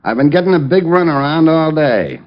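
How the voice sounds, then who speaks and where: An actor playing a sneering, threatening American gangster who talks in rough street slang, in old radio crime drama style. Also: male voice, fairly high pitch, lively intonation, normal speed, lightly acted. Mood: sneering menace. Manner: tough street slang, bad grammar, threatening. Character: menacing, sneering male gangster with a gun. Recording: muffled old radio recording.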